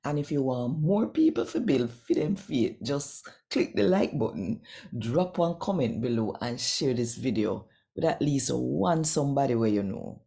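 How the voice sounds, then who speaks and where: A Jamaican woman hosting a channel and reading scripture aloud in a deliberate, expressive storytelling way. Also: rumbling low, gravelly. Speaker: channel host and scripture reader. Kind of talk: devotional Bible reading in Patois.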